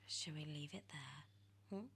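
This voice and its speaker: A British woman reading a storybook in ASMR style, drifting between soft whispers and expressive, animated speech. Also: deep voice, slow pace, a little hiss or hum. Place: on a sofa indoors.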